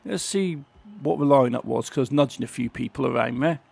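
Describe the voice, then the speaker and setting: A man's voice: medium pitch, fairly quick, creaky, a little mellow, slightly rough, soft background music. A man with a sore throat, chatting casually as he plays and comments on a racing game. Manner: chatty, grumbling about the game. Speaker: gaming channel host.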